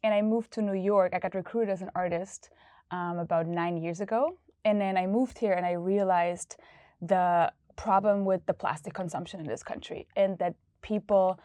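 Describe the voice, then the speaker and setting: A woman's voice: on the low side, steady tone, moderate pace, mellow, no echo. A woman from Austria, a startup founder, talking casually in an office interview, searching for words with many fillers.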